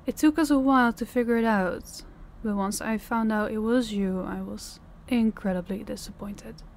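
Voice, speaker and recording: Woman acting as female mafia boss, soft dark tone, stern, measured, condescending, intimate audio roleplay, faint background noise. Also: on the high side, natural voice. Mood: cold, condescending.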